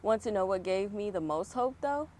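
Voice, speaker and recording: A woman's voice: mid-range voice, animated, normal speed, a little mellow. A woman reading a children's picture book aloud with chatty, encouraging asides to young viewers, recorded outdoors. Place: outdoors on a fall day. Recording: outdoor background noise, passing vehicles. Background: outdoor ambience, traffic.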